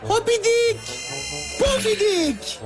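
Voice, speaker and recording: A woman voicing an excitable, boastful young magician in a children's cartoon, with a theatrical, showy delivery. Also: very high-pitched, full character voice. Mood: showy, proud. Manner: theatrical, drawn-out showy announcing. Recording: loud music behind.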